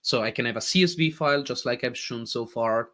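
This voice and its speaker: A man with an Italian accent, a native Italian speaker, eagerly walking viewers through a live software demo as a tech presenter. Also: mid-range voice, fairly quick. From Italy. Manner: eager, animated explaining.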